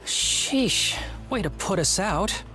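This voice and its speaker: A woman's voice: mid-range voice, expressive, slow, music in the background. A woman voicing an easygoing but reluctant young boy sidekick in a video game, with a flustered, grumbling manner. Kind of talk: animated video game voice acting.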